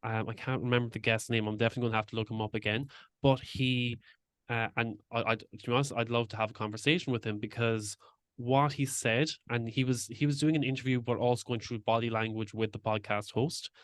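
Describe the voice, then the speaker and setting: A man's voice: mid-range voice, steady tone, fast talker, dry recording, clean studio sound. A man hosting a podcast, speaking openly and personally as he shares his own experiences at length. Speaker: podcast host. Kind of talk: casual interview talk.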